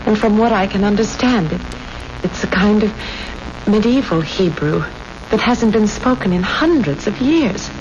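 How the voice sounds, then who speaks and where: An actress playing a fearful, awed granddaughter in a 1970s radio drama, halting and frightened. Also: female voice, medium pitch, expressive, close to an everyday voice. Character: fearful, awed granddaughter tending an old man. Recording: muffled, noisy old radio recording.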